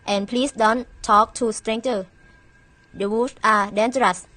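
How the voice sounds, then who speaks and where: Female performer for kindly maternal storybook role: caring, instructive, slightly high voice, amateur play. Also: fairly even intonation, unhurried, pushed and bright, no echo. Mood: caring, cautioning. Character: caring mother, also kindly grandmother.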